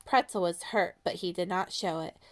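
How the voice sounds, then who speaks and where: A woman reading a picture book aloud to young children in an expressive way, with dramatic pauses and questions to her listeners. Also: on the low side. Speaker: children's storytime reader.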